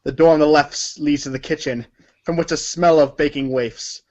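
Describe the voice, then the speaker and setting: A man's voice: on the high side, fairly even intonation, normal speed, darkish tone. A man narrating a read-along story in the second person, giving commands to a character. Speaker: narrator of a group reading. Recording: dull lo-fi audio.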